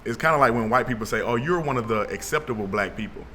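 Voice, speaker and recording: A young man from Atlanta, telling his story as an interview guest in a relaxed way with plenty of fillers. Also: medium pitch, fast talker, a little hiss or hum. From Atlanta, Georgia. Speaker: guest, founder of an initiative. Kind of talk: casual interview talk.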